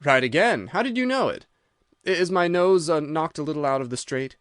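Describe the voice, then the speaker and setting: A man's voice: a little high, animated, brisk, a bit bright, no room sound, studio quality. A man voicing a genial but wary old country squire in a mystery story, hearty and exclamatory. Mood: hearty, impressed.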